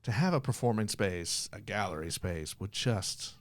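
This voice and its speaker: A man's voice: lowish voice, animated, moderate pace. A man with an American accent chatting casually as the host of an interview show. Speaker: podcast host.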